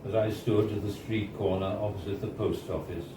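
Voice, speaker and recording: A man reading a story aloud live on a bar stage, in a measured, theatrical orator's manner with deliberate pauses. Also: lowish voice, steady tone, distant mic. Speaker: reader of a story. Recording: echoey, noisy bar recording from off.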